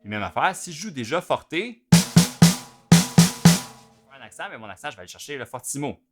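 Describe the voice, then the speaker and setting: Casual Quebec French drum teacher. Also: male voice, mid-range voice, big pitch swings, loud music behind the voice. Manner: explanatory and informal, with frequent fillers and pauses to play. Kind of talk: instructional video lesson.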